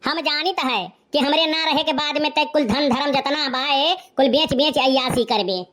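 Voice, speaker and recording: A woman plays an exasperated village mother in a comedy cartoon, pitched very high. She has an Awadhi accent and a sharp tongue, and she scolds with heavy sarcasm. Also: fairly even intonation, moderate pace. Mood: scolding. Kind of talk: comic cartoon dialogue.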